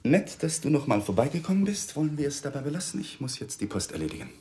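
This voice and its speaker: A young lawyer who is struggling and on edge in a radio play. His intonation leaps widely. He comes across as excitable and embittered, with clipped, defensive phrasing. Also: a little high, quick pace. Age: young adult. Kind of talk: radio drama acting.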